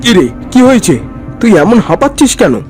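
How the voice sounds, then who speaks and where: A woman voicing a calm village husband who scoffs loudly at ghost talk. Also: medium pitch, normal speed, faint music behind, close to an everyday voice.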